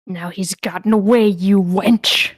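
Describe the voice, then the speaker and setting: Monster spirit played by a woman: mid-pitched, theatrical, scornful, archaic diction, dry close sound, table read. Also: steady tone, unhurried, no room sound, natural voice. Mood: scornful, threatening.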